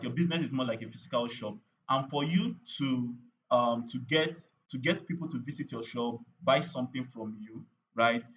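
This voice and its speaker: A man's voice: medium pitch, lo-fi sound, slight background noise. A man running a live online training on paid ads, chatty and repetitive, with lots of fillers and "right?" tag questions.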